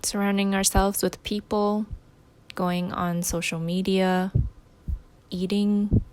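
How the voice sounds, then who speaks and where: A woman leading a guided meditation, giving gentle instructions in short phrases with pauses between them. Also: mid-range voice, little pitch movement, clean tone.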